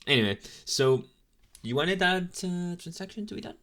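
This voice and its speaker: A man's voice: sits high, expressive, normal speed, fairly bright tone. A man playing an inexperienced young male demon intern in an ASMR roleplay, chatting in a casual, hesitant, apologetic way straight to the listener. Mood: sheepish, apologetic. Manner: rambling, hesitant, casually chatty with slangy asides.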